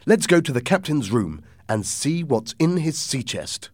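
A man's voice: mid-range voice, sing-song, unhurried, dry recording, clean studio sound, voice pushed up. A man voicing an earnest, resolute young boy with urgent, plain-spoken delivery in a storybook reading.

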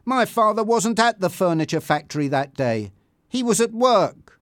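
Man acting young female singer, audiobook character, high voice, animated, emotional, insecure. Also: normal speed, no echo, clean studio sound. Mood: confused, disbelieving. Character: excitable, insecure young woman band singer.

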